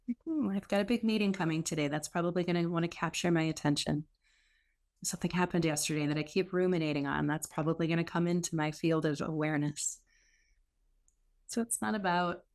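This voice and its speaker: A woman leading a guided meditation as a teacher, speaking in an unhurried, gently instructive way with pauses. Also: on the low side.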